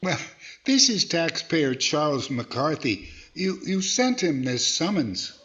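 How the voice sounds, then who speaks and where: Guy playing polite placating straight man, slightly high voice, hesitant, old radio comedy, muffled sound. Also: very animated, takes it slowly, fairly bright tone, exaggerated voice. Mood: reassuring. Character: mild, placating straight-man ventriloquist, adult male employer. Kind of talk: 1940s radio comedy acting.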